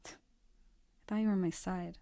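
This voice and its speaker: A woman's voice: on the low side, fairly even intonation, normal speed, vocal fry, dark tone. A young woman acting in a naturalistic radio drama as a volatile, defensive drug user. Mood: defensive, angry. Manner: combative, defensive, accusatory.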